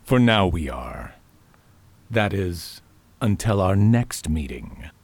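Man acting a husky, very low conspirator boss. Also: very animated, takes it slowly, dry recording, full character voice. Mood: ominous. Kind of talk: science fiction radio drama acting.

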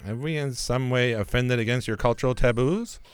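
A man's voice: medium pitch, moderate pace, smooth, close to an everyday voice. A man playing a pompous, exasperated starship captain in a comedic radio play, stuffy and declamatory. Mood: formal. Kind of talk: comedic radio theatre parody acting.

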